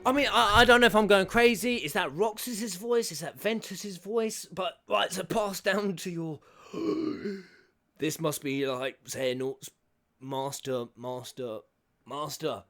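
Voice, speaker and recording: A man hosting a reaction video, excitable and swearing, shouting at the screen and at times dropping to a whisper. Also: high-pitched, a little slow. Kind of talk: live reaction commentary. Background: game cutscene audio and music.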